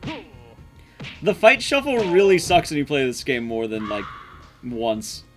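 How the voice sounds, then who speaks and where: A man's voice: fairly high pitch, wildly swooping pitch, a little slow, faint music behind. A man giving casual live commentary as he plays a game, swearing and muttering asides to himself. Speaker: streamer, speedrunner. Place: indoor room, streaming setup.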